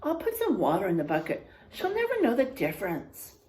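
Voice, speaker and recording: A woman's voice: mid-range voice, huge pitch swings, a little fast, darkish tone, low-quality recording. A woman voicing a practical older sister in a storybook, firm and reassuring. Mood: conspiratorial.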